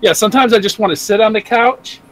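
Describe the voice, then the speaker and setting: A man's voice: high voice, quick pace. A man with a slight American Southern accent, talking in a casual podcast chat, swearing freely and laughing often. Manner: profane, laughing, animated. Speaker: podcast co-host.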